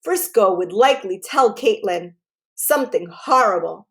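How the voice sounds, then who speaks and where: A woman narrating a children's book aloud as a storyteller, in an expressive, deliberate way, phrased clearly for young listeners. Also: mid-range voice.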